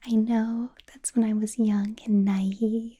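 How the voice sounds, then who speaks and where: A woman's voice: fairly high pitch, little pitch movement, slow, dark tone, breathy edge, highs cut off. Woman whispering as a teasing vampire boss. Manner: whispered throughout, teasing, menacing, playful laughs. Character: centuries-old female vampire boss, teasing, possessive. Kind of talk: ASMR roleplay.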